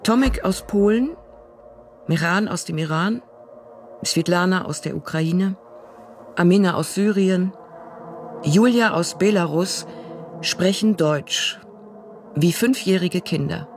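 A woman reading a literary text aloud in a measured way, pausing between short phrases. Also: fairly low pitch, darkish tone. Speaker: reader. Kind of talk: slow literary reading over music. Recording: faint singing bowl music behind.

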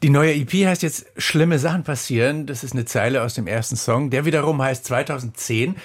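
A man's voice: medium pitch, fairly quick. A man interviewing a guest, asking polite, formal questions and follow-ups.

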